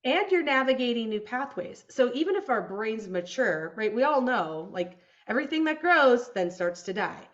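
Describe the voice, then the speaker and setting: A woman in her forties co-hosting a podcast, talking in a reflective, animated way about self-awareness. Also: on the high side, moderate pace, few pauses, lo-fi sound. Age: 40s. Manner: reflective, animated storytelling with laughs. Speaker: podcast co-host. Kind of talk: casual conversation. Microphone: video call.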